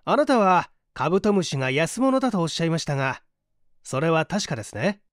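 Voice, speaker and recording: A man's voice: fairly high pitch, big pitch swings, brisk, no echo, clean studio sound. A man voicing a composed, analytical detective doctor in an audiobook, precise and polite as he reasons. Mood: probing. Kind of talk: audiobook character reading.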